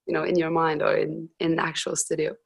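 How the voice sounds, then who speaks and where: A young woman with a husky voice, a musician guest chatting casually in an interview, hesitant with frequent restarts. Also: deep voice, flat delivery, fast talker.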